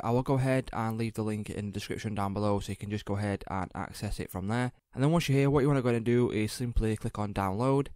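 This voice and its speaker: A man presenting a casual, step-by-step software tutorial in informal, repetitive instructional phrasing. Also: mid-range voice, clear, resonant tone, no room sound, studio quality.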